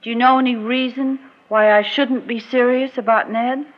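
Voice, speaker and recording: A woman's voice: a little high, steady tone, moderate pace, natural voice. An actress playing a proud, guarded woman resisting an old flame, genteel and a little defensive, in classic radio drama style. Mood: testing, hurt. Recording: muffled old radio recording.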